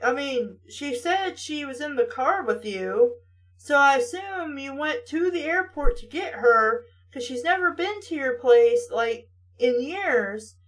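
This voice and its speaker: A high-pitched female voice, recorded at some distance from the mic. She reads a story aloud and keeps stepping out of it with mocking, questioning commentary. Her delivery is that of a sarcastic host talking back to the text. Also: animated, measured pace. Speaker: host reading stories aloud. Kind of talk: story reading with mocking asides.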